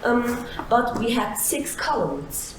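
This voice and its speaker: Halting woman, distant in an echoey hall. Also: on the high side, big pitch swings. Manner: halting, frequent restarts and fillers. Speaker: seminar panelist. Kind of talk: conversational panel talk. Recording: echoey hall, distant mic, faint noise.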